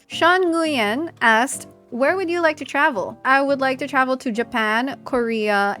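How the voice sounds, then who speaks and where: A woman's voice: fairly high pitch, a bit bright. A young woman from the Philippines, chatty and enthusiastic, reading out viewer questions and answering them in a casual voice-over. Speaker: gaming YouTuber.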